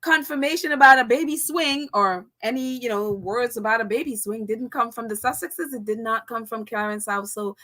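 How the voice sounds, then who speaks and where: A woman's voice: fairly high pitch, moderate pace, few pauses, sharp tone. A woman with a Caribbean accent hosting a casual livestream, reading chat comments aloud with frequent laughs and fillers. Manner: chatty, laughs often, many fillers. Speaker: channel host reading live chat.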